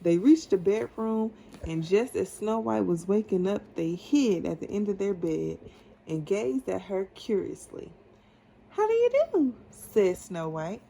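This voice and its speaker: A mother reading a storybook aloud to her child in a patient, deliberate, encouraging way. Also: medium pitch, gravelly, dark tone, slight background noise.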